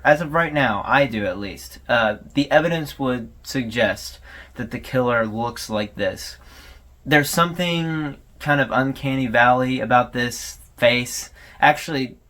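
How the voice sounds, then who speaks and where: A man's voice: medium pitch, a bit bright. A man hosting a video analysis, giving casual, conversational commentary with hesitations and informal asides. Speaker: host of a horror series analysis video.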